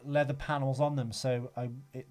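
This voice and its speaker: A man's voice: mid-range voice, level tone, moderate pace, darkish tone. A man co-hosting a podcast, chatting casually about a film with a teasing, sweary manner. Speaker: podcast host.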